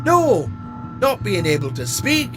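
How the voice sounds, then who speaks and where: A small, naive robot adventurer in an audio drama, acted by a man, excitable and guileless. The voice is high and very animated yet takes its time, set over music. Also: unhurried, full character voice. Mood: excited, chirpy. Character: naive, chirpy, eager little male robot adventurer.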